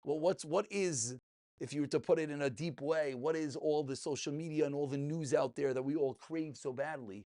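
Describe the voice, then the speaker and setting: A man's voice: on the high side, normal speed, warm tone. A man giving a passionate, informal religious lesson as a rabbi, emphatic, full of rhetorical questions and repeated phrases.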